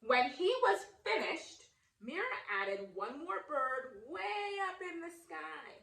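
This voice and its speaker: Slow, expressive woman reading to children. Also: high-pitched, vocal fry, reverberant, poor audio. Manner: expressive, deliberate, engaging listeners with questions. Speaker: read-aloud storyteller, educator. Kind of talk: children's picture book read-aloud.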